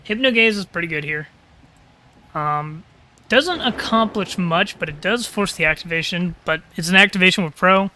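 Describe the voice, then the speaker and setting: A man calls a live game match in a high, ringing voice, talking casually and thinking out loud. His throat is a little crackly. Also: moderate pace. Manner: casual, chatty, thinking aloud. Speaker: caster.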